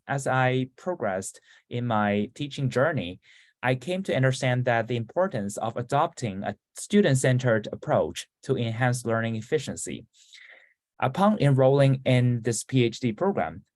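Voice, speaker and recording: A man's voice: mid-range voice, lively intonation, normal speed. A man in a doctoral program giving a formal, reflective academic presentation that sounds read from a script.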